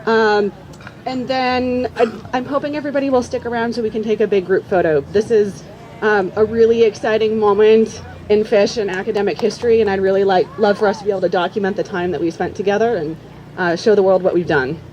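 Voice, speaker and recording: A woman speaking informally to a live audience as an academic host and teacher, with halting pauses and repeats. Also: mid-range voice, steady tone, darkish tone, highs cut off. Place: outdoors at a campground. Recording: outdoor public address with background noise. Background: faint outdoor ambient noise.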